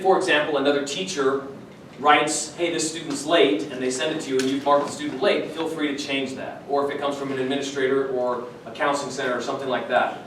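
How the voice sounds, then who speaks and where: A man's voice: on the high side, noisy background. Man addressing staff in a very echoey room. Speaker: school administrator leading a staff meeting. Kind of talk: informal announcements to colleagues. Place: large room at a school faculty meeting. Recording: very echoey room, distant mic, audience murmur.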